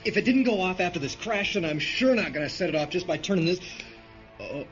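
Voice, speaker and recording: An actor playing a hot-headed, vengeful subordinate in a science fiction radio drama, blunt and agitated. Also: male voice, high voice, animated, brisk. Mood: nervous curiosity. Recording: dull radio play recording under loud music.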